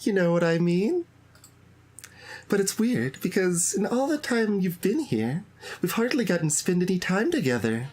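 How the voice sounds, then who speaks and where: A man voicing an earnest, clingy teenage schoolgirl from a visual novel, gentle and confiding in a soft, lifted voice. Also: high voice, sing-song, moderate pace, slightly rough, voice pushed up, heavily acted.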